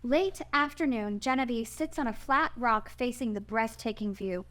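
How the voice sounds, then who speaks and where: High-voiced woman reading stage directions. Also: moderate pace, a bit bright. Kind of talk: scripted screenplay narration.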